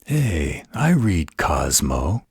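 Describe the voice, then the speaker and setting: A man's voice: extremely low pitch, sing-song, extremely slow, breathy edge, no echo, studio quality, full character voice. A man playing a snarky, distrustful supernatural fighter in an audio roleplay, with a mocking drawl. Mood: teasing.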